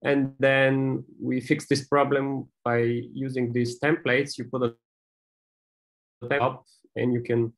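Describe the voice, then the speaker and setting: A man in his thirties with a Bulgarian accent, giving an informal, halting presentation over a video call. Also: mid-range voice, flat delivery, poor audio. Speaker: presenter, maker. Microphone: video call microphone.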